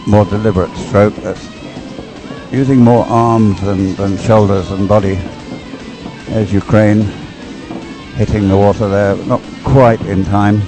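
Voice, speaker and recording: A man giving unscripted live race commentary, explaining as he goes in a hesitant, halting way and repeating words. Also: on the low side, fairly even intonation, music in the background. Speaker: sports commentator.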